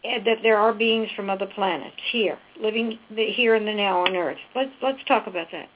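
A woman's voice: mid-range voice, fairly quick, phone-quality sound, background noise. A woman host chatting and asking an interview guest questions in a conversational way.

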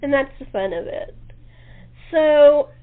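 A chatty woman hosts a relaxed conversational interview in a slightly high voice. She sounds as if she is on a phone line, thin and narrow in tone. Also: slow. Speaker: talk show host. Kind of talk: casual chat interview.

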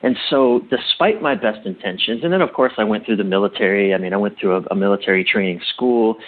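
A middle-aged man speaking reflectively as an interview guest, with a husky voice, often halting and correcting himself with emphasis. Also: lowish voice, narrow, tinny audio. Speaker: interview guest, relationship coach.

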